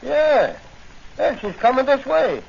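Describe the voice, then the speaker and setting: A slangy, plucky police sidekick from a 1940s radio serial. His voice is high for a man and gravelly, always ready with a wisecrack. The old recording is dull and a little noisy. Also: sing-song, slow, heavily acted. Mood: jaunty. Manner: slangy, jocular.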